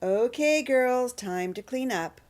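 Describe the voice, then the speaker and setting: A woman's voice: on the high side, animated, slow pace, darkish tone. A woman playing a bossy, particular sister who takes charge of the cooking, in a radio drama. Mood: brisk.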